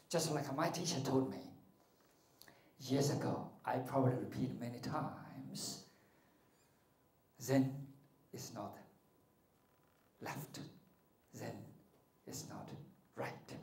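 A man's voice: mid-range voice, takes it slowly, long pauses. Playful man lecturing in an echoey hall. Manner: animated, joking, asks the audience questions. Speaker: martial arts and meditation teacher. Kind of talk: playful spiritual talk to an audience. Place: large hall with curtained stage. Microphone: handheld mic.

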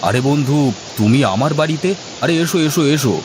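A man's voice: on the high side, animated, brisk, natural voice. A man playing a hospitable, helpful village friend in a cartoon dub. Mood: welcoming, reassuring. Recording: clear background noise.

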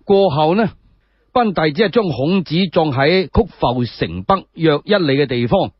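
A man narrates a dramatic tale with a Cantonese accent. His husky voice runs a little high and swings widely in pitch, in a dull recording. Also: expressive, normal speed. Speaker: storyteller. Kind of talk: dramatic solo storytelling narration. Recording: dull, echo-free muffled recording.

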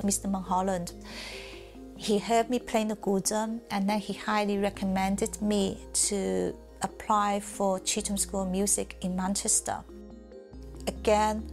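A woman's voice: mid-range voice, vocal fry. Chinese-accented woman recalling her past. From southern China. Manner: candid, reflective, laughs softly now and then. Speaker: musician. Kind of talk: interview storytelling.

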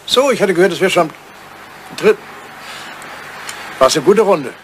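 A man's voice: high-pitched, lively intonation, moderate pace, slight background noise, low-quality recording. An older man acting a lonely, lovelorn English lord in a German radio drama, insistent and theatrical in his phrasing. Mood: probing. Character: wistful old English lord, lonely lovelorn bachelor writer.

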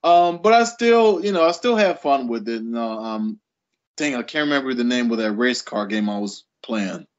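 Man, late 30s, podcast guest, animated storyteller, very lively pitch, muffled lo-fi sound. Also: moderate pace. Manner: animated storyteller, laughing between thoughts. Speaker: guest writer. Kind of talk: casual interview storytelling. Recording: dull lo-fi audio.

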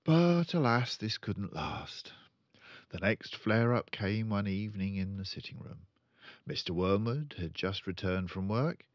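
An older man reading a children's book aloud as a storyteller, with deliberate, expressive phrasing. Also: lowish voice, dry recording, highs cut off. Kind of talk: children's audiobook narration.

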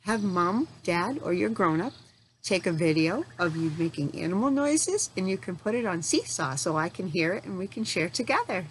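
A woman in her forties reading aloud to young children as their teacher, phrasing things simply and deliberately and asking them questions. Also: fairly low pitch, poor audio. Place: home room in front of a large window. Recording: steady noticeable background noise.

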